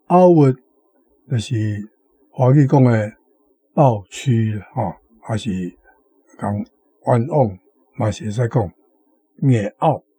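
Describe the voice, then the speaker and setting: Male radio commentator, Taiwanese accent: didactic, mellow, slow, word-by-word explanations, dry sound. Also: mid-range voice, breathy edge, no echo. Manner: didactic, repeats key words slowly. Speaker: guest commentator on a radio programme. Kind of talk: explanatory talk on words and meanings.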